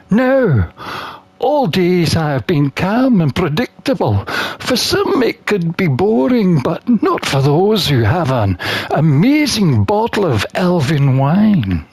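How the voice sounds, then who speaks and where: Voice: medium pitch, a little mellow, dry recording, voice pushed down, exaggerated voice. A game streamer voicing a contented, wine-loving satyr herbalist who narrates a visual novel in a leisurely, dreamy way.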